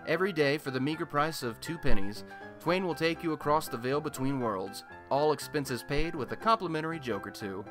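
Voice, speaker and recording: A man's voice: fairly high pitch, expressive, fairly quick, music in the background, natural voice. A man playing a chatty, wry radio host in a superhero city, telling scripted comic stories in a colloquial, theatrical way for a radio play. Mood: dramatic, amused storytelling.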